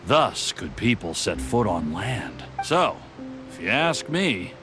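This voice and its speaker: A man's voice: on the low side, big pitch swings, slow. An actor playing an easygoing, dreamy, philosophical townsman in a video game, musing like a storyteller. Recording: music clearly under the voice.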